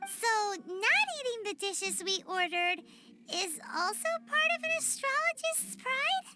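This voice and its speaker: A woman voicing a tiny, childlike, cheeky fairy companion in a video game, squeaky and perky. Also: expressive, measured pace, very noisy. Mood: puzzled. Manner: refers to herself in third person, perky. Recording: loud game music behind.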